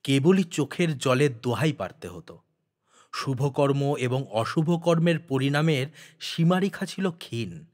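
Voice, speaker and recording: A man narrating a literary audio story with expressive, deliberate, dramatic phrasing. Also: medium pitch.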